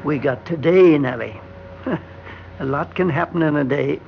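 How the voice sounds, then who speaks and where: A man playing a kindly, sentimental elevator operator in his seventies in an old-time radio drama, with a creaky, folksy, hesitant manner. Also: a little high, measured pace. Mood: fond, wistful. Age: older. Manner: folksy, chuckling, hesitant, talks tenderly. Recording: dull, hissy vintage radio recording.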